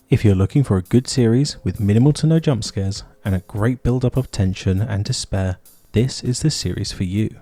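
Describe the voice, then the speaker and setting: A man's voice: lowish voice, warm tone. A man hosting and narrating a scripted video essay.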